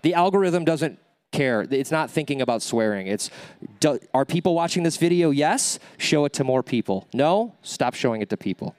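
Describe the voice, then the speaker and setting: A man's voice: a little high, normal speed, no echo. A man with a nasal voice giving a live workshop talk, coaching the audience and checking in with them as he answers questions. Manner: energetic, coaching, checks in with "okay?" and "right?". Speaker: workshop speaker. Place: event stage.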